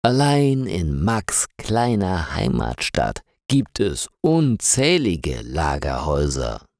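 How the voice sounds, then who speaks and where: A man narrating a scripted crime story for language learners in a slow, clearly articulated reading with lots of rise and fall. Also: on the low side, a little mellow, dry recording, clean studio sound.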